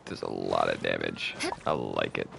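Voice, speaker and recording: A man's voice: very deep voice, moderate pace, creaky, background noise. A man giving casual, jokey commentary while streaming a video game. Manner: jokey, swears, laughs at the game. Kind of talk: casual let's-play commentary. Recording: game music over the mic.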